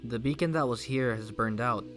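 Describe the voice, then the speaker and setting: A woman's voice: rumbling low, moderate pace, faint music behind. A woman voicing a stoic, mysterious fire-born magical wanderer, terse and dry, in a fan comic dub. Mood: gruff, detached. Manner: deadpan, terse, cryptic.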